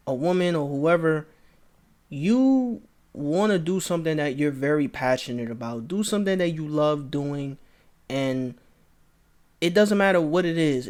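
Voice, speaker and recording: A man hosting a reaction video, talking casually and straight to his viewers, often repeating his phrases. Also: a little high. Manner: laid-back, repeats phrases, talks to viewers directly. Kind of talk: casual commentary.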